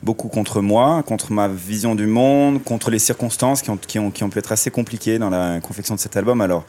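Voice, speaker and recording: A man's voice: lowish voice, dark tone. A man guest in a radio interview, speaking reflectively with many hesitations and restarts, through a surgical mask. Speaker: musician guest. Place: outdoors in a city street. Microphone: wearing a surgical mask.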